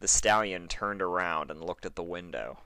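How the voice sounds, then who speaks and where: A man's voice: low voice, normal speed, bright, forceful tone, clean tone, no room sound. A man narrating a fan fiction story in a dramatic, scripted reading style.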